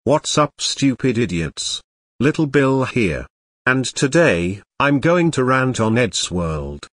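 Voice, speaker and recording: A man's voice: medium pitch, very animated, slow pace, no echo. A man voicing a bratty young boy who rants and insults in a cartoon. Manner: whiny, insulting ranting. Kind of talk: cartoon grounded-video dialogue.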